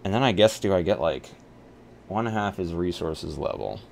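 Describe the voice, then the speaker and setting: A man with a very low voice and plenty of fry chats casually as he streams a tabletop roleplaying game. He mulls things over out loud and banters freely, with noticeable noise behind him. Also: expressive, normal speed. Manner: thinks aloud, banters with profanity. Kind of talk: casual livestream chat while building a game character. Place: live online game stream. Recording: clear background noise.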